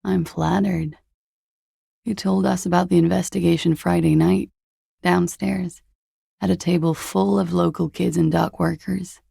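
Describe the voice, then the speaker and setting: A woman playing a cool, evasive young former beauty queen in a video game, speaking in a languid, dry, sardonic way with a husky, breathy voice. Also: on the low side, level tone, a little slow, dark tone, dry recording, exaggerated voice. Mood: coolly sardonic. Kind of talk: video game dialogue, low-key and naturalistic.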